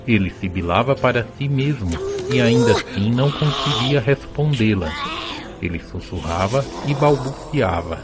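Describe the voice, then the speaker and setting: A man's voice: mid-range voice, lively intonation, moderate pace. A man giving scripted storybook narration for an audio drama. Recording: music behind the voice.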